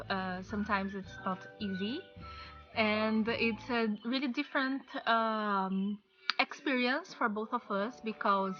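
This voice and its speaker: A woman's voice: a little high, muffled recording. A young woman from Brazil with a Brazilian Portuguese accent, chatting casually to camera in English with many fillers, outdoors on a patio. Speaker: vlogger. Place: outdoor patio by a wooden fence.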